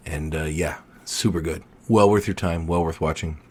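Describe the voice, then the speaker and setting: Hoarse, low-voiced male podcast host. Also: steady tone, moderate pace, darkish tone. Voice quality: baritone. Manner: laid-back, filler-heavy rambling. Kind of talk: casual podcast chat.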